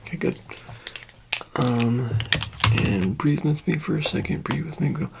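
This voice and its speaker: A soft-spoken ASMR roleplayer, sometimes whispering, playing an offhand handler in a hesitant, rambling, casually reassuring way. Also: mid-range voice, measured pace, creaky, heavy background noise. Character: offhand handler preparing an alien for public exposure.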